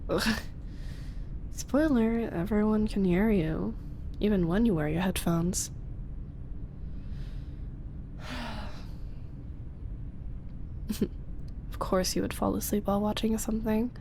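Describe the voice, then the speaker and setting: A young woman voice actress playing a flustered roommate who secretly makes ASMR videos, speaking softly and slipping into whispers. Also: mid-range voice, moderate pace, a little hiss or hum, natural voice. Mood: fond teasing. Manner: soft-spoken, drifting into whispers. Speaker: voice actress in audio roleplay. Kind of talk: ASMR roleplay.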